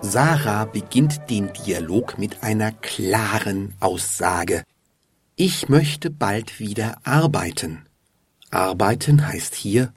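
A man hosting a lesson podcast, slowly and patiently explaining grammar to learners. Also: lowish voice, slightly rough, no room sound. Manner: deliberate and patient. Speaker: German teacher and podcast host. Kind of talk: slow, clear explanation for learners.

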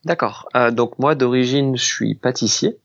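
A man's voice: medium pitch, gravelly. Thirties guy from southwest france, laid-back chatty storytelling, kinda muffled lo-fi audio. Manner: relaxed, unhurried storytelling. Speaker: pastry chef and business founder. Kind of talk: casual interview conversation.

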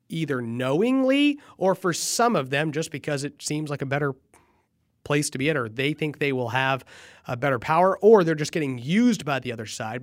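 A man's voice: mid-range voice, dry recording, studio quality. A man hosting a political podcast, talking earnestly and emphatically with a stuffy, nasal voice from allergies. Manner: earnest, emphatic, rises when fired up. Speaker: podcast host. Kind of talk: political commentary monologue.

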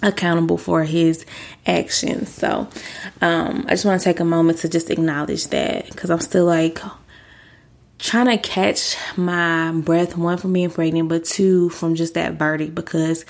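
A woman's voice: level tone, a little slow, creaky. A young woman hosting a faith-based podcast, six months pregnant and a little out of breath, talking earnestly and casually to her listeners. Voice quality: deeper than usual. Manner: earnest, chatty, uses y'all. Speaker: podcast host.

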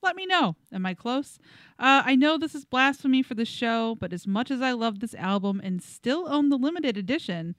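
A woman's voice: a little high, lively intonation, normal speed, a bit bright, no room sound, studio quality. A woman co-hosting a podcast, reading listener comments aloud and chatting in an informal, joking way. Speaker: podcast co-host. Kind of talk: casual podcast chat, reading out listener feedback.

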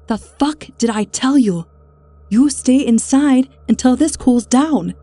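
Brief: woman reading bitter older spinster, profane, biting, raised and sharp. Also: a little high, moderate pace, no room sound, close to an everyday voice. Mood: furious. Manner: profane, cutting, snapping.

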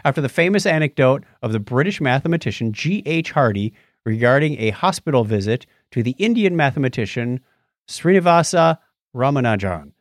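A man's voice: medium pitch, very animated, fairly quick, no room sound, studio quality. A man co-hosting a podcast in casual chat, explaining things in a geeky, enthusiastic way. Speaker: podcast co-host.

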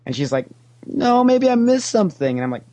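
A man's voice: high voice, a little fast. A man chatting casually as a podcast guest, opinionated and given to sarcastic jokes. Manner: opinionated, animated when quoting, sarcastic joking. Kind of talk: casual, joking fan chat.

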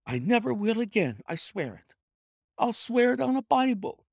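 A man's voice: extremely high pitch, very animated, normal speed, creaky, no room sound, clean studio sound, voice pushed up, heavily acted. A man reading aloud and voicing a frightened, cornered male thief who pleads and confesses in a panicky way. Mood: begging. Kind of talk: audiobook character voice.

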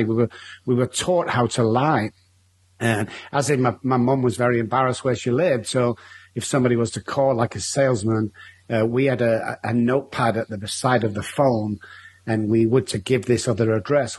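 Husky-voiced man with a Manchester accent. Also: mid-range voice, a little mellow. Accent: Mancunian English. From Manchester, England. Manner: candid, rambling storytelling with repeated restarts. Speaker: guest. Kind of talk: interview storytelling.

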